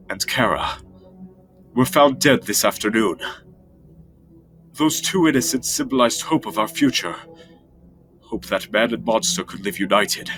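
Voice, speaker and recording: Casting: male actor as low-voiced monster king, solemn and earnest, grieving father, dramatic comic dub with music underneath. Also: fairly bright tone. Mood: solemn grief.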